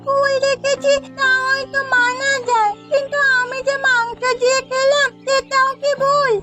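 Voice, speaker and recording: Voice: very high voice, level tone, unhurried, bright, forceful tone, full character voice. An actor voicing an insistent, indignant young child in a cartoon, protesting, with music underneath. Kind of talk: Bengali cartoon voice acting.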